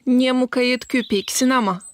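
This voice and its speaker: A woman's voice: high voice, steady tone, moderate pace, a little hiss or hum, natural voice. A woman voicing a kindly, motherly, practical female dog in a children's cartoon. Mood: fond, instructing. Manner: motherly, gently instructing.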